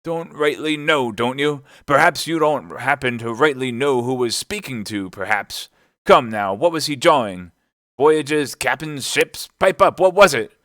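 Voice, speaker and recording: Male reader as old sailor landlord, bluff, blustering, salty talk, bright forceful tone, storybook villain, dry recording. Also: deep voice, animated, a little slow, dry recording, exaggerated voice. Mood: scolding, blustering. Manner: loud, forceful exclamations in salty seaman's talk.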